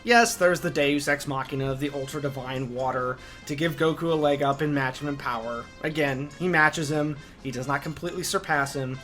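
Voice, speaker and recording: A man's voice: a little high, moderate pace, few pauses, a bit bright, soft background music. A man hosting a video essay, giving opinionated commentary in an emphatic, enthusiastic fan's delivery.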